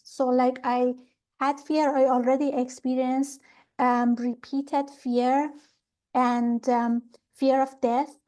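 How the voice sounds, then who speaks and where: High-voiced woman from Iran explaining calmly. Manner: calm, thoughtful, with many fillers and restarts. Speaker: pranic healing teacher. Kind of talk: interview answers, explanatory.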